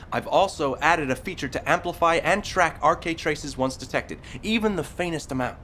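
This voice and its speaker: Game tech expert male actor: medium pitch, matter-of-fact, absorbed in detail, faint rumble behind. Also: a bit bright, lightly acted. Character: matter-of-fact male sci-fi engineer, absorbed in his gadgets. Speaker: technician explaining a device. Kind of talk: video game voice acting, technical briefing.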